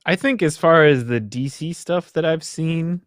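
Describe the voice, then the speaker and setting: A man's voice: on the high side, measured pace, no echo, clean studio sound. A young adult man chatting casually in a group, informal and loose with swearing. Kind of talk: casual group chat about superhero shows.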